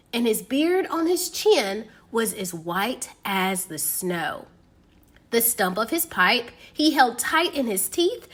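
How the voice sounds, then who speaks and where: A woman reading a children's story aloud in a sing-song, animated way, as if to a small child. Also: sits high. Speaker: podcast host reading a bedtime story.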